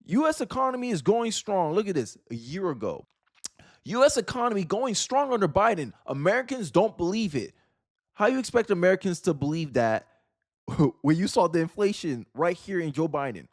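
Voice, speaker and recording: A man's voice: high-pitched, sing-song, normal speed. A man hosting political commentary, talking casually and emphatically to viewers with repeated phrases and rhetorical questions.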